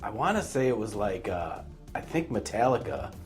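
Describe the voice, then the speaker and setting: A man's voice: lowish voice, very animated, normal speed, soft background music. A middle-aged American man co-hosting a podcast in a casual, conversational style. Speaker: podcast co-host. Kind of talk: casual chat.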